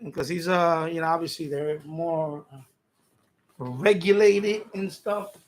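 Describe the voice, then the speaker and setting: A middle-aged man hosting a livestream in casual, rambling chat, halting often and repeating himself informally. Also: fairly high pitch, slight background noise. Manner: halting, repetitive, informal speech. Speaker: livestream host.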